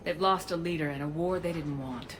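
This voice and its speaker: A woman's voice: low-pitched, brisk, heavy background noise, lightly acted. An actress playing a resolute, direct female military commander in a video game. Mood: businesslike.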